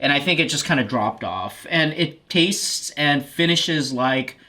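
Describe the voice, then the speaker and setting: This co-host of a casual spirits review show has a bright, sharp voice at a middle pitch. They talk hesitantly, restarting words as they work through their tasting notes. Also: animated, a little slow, slight background noise. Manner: hesitant, restarts words while giving opinions. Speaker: podcast co-host.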